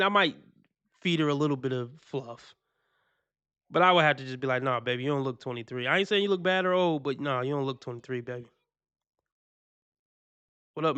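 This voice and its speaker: A man's voice: medium pitch, pushed and bright, clear, resonant tone, dry recording. Slangy laughing male stream host. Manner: slangy, laughing, repeats himself for emphasis. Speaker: streamer reacting to clips. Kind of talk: casual reaction commentary.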